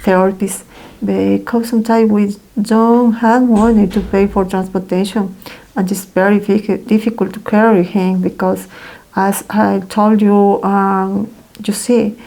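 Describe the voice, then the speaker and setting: Woman, voice-over interpreter, gravelly, unhurried, careful halting reading. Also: medium pitch, level tone, warm tone, poor audio. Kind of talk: translated first-person testimony read aloud.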